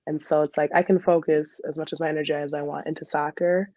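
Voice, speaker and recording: A woman's voice: low voice, flat delivery, fast talker, dark tone, dry recording. A woman, chatting casually and informally as an interview guest on a dull recording. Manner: chatty, frequent laughter and filler "like". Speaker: podcast guest, soccer player and dental student.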